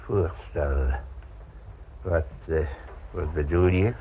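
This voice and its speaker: A man's voice: low-pitched, slow pace, dark tone, slight background noise, rough recording. An actor in a radio play, playing a nervous, stammering rich man who gets caught trying to poison someone. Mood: flustered. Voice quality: stammering, repeating words. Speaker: wealthy man being blackmailed. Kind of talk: radio drama acting.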